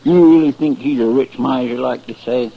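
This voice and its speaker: An actor playing a young, plaintive cowboy sidekick with a rural western American drawl in an old-time radio drama. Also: male voice, medium pitch, moderate pace, vocal fry. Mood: hungry, grumbling. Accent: rural American West. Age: young adult. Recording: muffled, noisy old radio recording.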